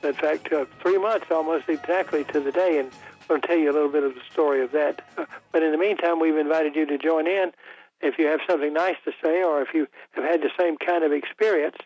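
An older man hosting a call-in radio talk show, talking in a halting way with many fillers and restarts, his voice a little rough. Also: fairly high pitch, fairly even intonation, darkish tone, faint music behind. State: voice slightly rough after illness. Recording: dry, tinny broadcast audio.

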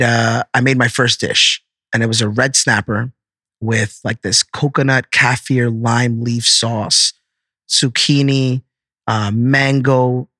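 A man's voice: medium pitch, a bit bright, dry recording, studio quality. A man in his thirties, a chef telling earnest, proud stories in a casual interview. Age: 30s. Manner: earnest storyteller, proud, repeats words.

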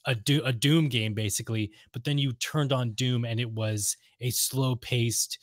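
A man's voice: mid-range voice, fairly even intonation, a little slow, dry recording, studio quality. A man co-hosting a casual gaming chat, talking emphatically and repeating his points with firm opinions. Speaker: podcast co-host. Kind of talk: casual chat about video games.

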